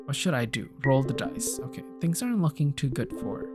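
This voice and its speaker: A woman's voice: extremely low pitch, expressive, a little fast. A woman from Indonesia chatting casually while playing a cozy game, thinking aloud and telling a personal story. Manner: chatty, thinks aloud, reads game lines and viewer comments aloud. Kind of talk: casual let's-play chat. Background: faint game music.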